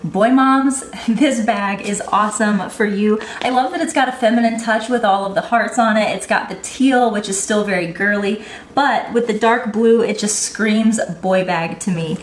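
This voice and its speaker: A woman's voice: medium pitch, normal speed, echoey room, slight background noise. A woman who runs an online baby boutique, reviewing bags in a vlog, nasal from a cold and gushing with enthusiasm.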